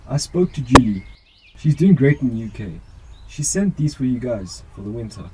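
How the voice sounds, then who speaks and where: A man's voice: mid-range voice, big pitch swings, normal speed, heavy background noise, close to an everyday voice. A man acting a caring, dutiful police officer in an amateur radio drama. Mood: kindly.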